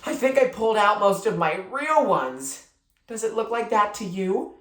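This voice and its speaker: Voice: medium pitch, animated, moderate pace, fairly bright tone, voice pushed up, close to an everyday voice. A reader voicing a haughty, scornful sixth-grade girl from a children's storybook. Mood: fussing, alarmed. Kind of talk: children's storybook character read aloud. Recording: roomy, echoing sound.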